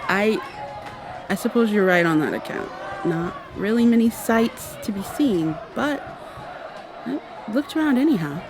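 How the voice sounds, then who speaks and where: A woman playing a brisk, awkward time traveler in an ASMR roleplay, talking to the listener in a rambling, wry way and thinking out loud. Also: mid-range voice, animated, crowd noise, background noise. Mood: awkward, chatty. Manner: rambling, hesitant, thinking out loud mid-sentence. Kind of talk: ASMR roleplay, acted one-sided dialogue to the listener.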